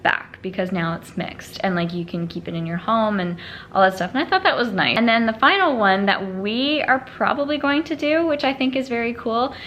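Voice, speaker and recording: A woman's voice: on the high side, a little fast, fairly bright tone, slight background noise. A young woman chatting casually to the camera at home, upbeat and rambling, sounding congested and a little nasal from allergies. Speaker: lifestyle vlogger.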